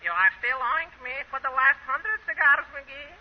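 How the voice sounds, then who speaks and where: A faintly noisy vintage radio recording presents a grumbling cigar store keeper. His voice is very high, with a dry, deadpan way with wisecracks, in 1930s comedy style. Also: normal speed, lo-fi sound. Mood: dry, wry.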